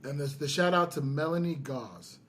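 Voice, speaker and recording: A man's voice: fairly high pitch, animated, moderate pace, a bit bright, a little hiss or hum. A man chatting casually as a podcast host, speaking earnestly and with enthusiasm.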